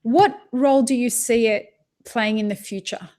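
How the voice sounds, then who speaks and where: A woman's voice: on the high side, normal speed, darkish tone. A woman hosting a podcast in casual chat, blunt and chatty and swearing now and then. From not American, living in California. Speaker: podcast host.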